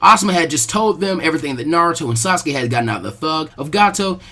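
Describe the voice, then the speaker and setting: A man's voice: fairly high pitch, lively intonation, quick pace, bright, forceful tone. A man reading scripted fan fiction narration aloud as a storyteller.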